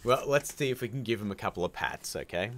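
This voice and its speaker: A man with an Australian accent and a low voice hosts a casual live stream. He rambles along in a chatty way, laughing often and calling his viewers "dude". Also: animated, fast talker. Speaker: livestream host.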